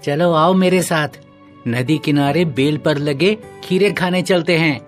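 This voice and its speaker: Cartoon critter, played by a woman: cheeky, familiar, slow-paced, mid-pitched, soft music underneath. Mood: coaxing. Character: cheeky cartoon animals, a camel and teasing mouse. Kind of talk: Hindi animal cartoon dialogue.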